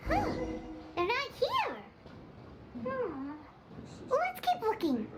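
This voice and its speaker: A woman voicing a tiny, excitable, childlike fairy companion with a squeaky voice in a video game. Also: very slow, music in the background, exaggerated voice. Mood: curious. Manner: exclaiming, refers to self by name.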